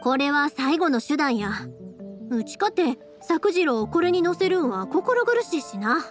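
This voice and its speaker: A cheerful young anime game heroine with a high voice and a Kansai accent. She talks in a breezy, folksy, warm-hearted way, with soft music playing behind her. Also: sing-song, unhurried. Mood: earnest.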